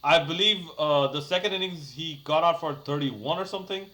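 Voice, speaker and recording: A man's voice: on the high side, moderate pace, bright, forceful tone. A young man talking casually to his channel, giving a rambling review of a cricket match full of fillers and restarts. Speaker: cricket YouTuber.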